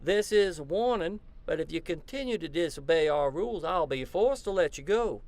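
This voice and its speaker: A man's voice: a little high, expressive, normal speed, darkish tone. A man playing a controlling, evasive doctor in charge of an asylum, authoritative and dismissive, in an audio drama. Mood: stern.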